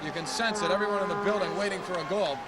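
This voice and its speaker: A man calling live indoor soccer play-by-play in clipped, excited running phrases. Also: sits high, rapid-fire. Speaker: play-by-play announcer. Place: indoor sports arena. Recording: noisy old arena broadcast, loud crowd. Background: loud crowd and arena noise.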